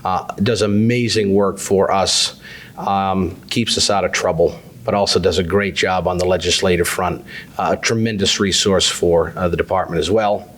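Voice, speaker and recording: A man's voice: low-pitched, steady tone, moderate pace, fairly bright tone. A man with a cold giving affable, self-deprecating town hall remarks with frequent "uh" fillers. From New Hampshire. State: has a cold. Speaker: state insurance commissioner.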